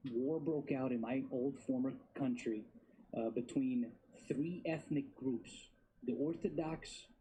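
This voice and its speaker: A man's voice: mid-range voice, a little slow, mellow, breathy edge, poor audio. A man in his thirties from Bosnia and Herzegovina, a guest talking casually and emphatically, repeating his words. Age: 30s. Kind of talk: casual interview talk.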